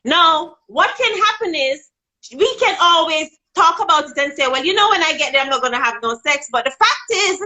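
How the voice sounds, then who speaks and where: Bright high-pitched Jamaican woman. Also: animated, moderate pace, rough recording. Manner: frank and outspoken, rising in pitch when making a point. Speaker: guest on a live video chat. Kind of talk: candid casual chat about dating and sex. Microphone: phone held in hand.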